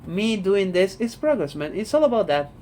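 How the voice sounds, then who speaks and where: A man's voice: high voice, big pitch swings, normal speed, darkish tone, a little hiss or hum. Blunt young Argentine man. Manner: blunt, repeats "man", swears freely. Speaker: vlogger. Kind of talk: casual talking-head monologue. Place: home room.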